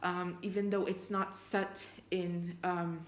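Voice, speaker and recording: A woman whose first language is Armenian, reflecting earnestly on faith and reading passages of scripture aloud, thinking out loud with fillers and restarts. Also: lowish voice, flat delivery, takes it slowly. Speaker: spiritual vlogger. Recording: echoey room.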